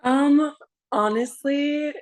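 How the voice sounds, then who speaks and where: A woman in her twenties with a low, raspy voice, chatting eagerly as a musician guest on a video call, full of likes and restarts. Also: extremely slow, darkish tone. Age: 20s. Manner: enthusiastic, many likes and restarts. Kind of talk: casual interview chat.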